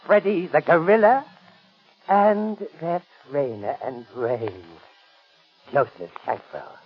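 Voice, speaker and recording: An actor playing a crazed, taunting animal trainer villain in theatrical 1930s radio drama style. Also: male voice, a little high, slow, slightly rough, soft background music, heavily acted. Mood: taunting, menacing. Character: gloating mad villain, a male animal keeper and trainer. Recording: dull lo-fi 1930s radio recording.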